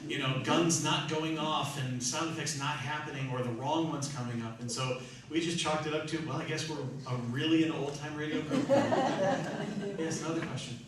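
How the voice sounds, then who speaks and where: A man hosting an audience question session for a theatre troupe, telling anecdotes in an animated, joking way. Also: fairly high pitch, moderate pace, crowd noise. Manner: animated, joking, often laughing. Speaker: radio theatre troupe founder and host. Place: live hall with an audience. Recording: echoey hall, distant mic, noisy.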